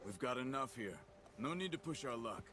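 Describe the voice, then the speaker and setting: An actor playing a calm, watchful, pragmatic outlaw hunter in a video game cutscene, speaking in a measured, matter-of-fact way. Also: male voice, mid-range voice, lightly acted. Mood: cautious.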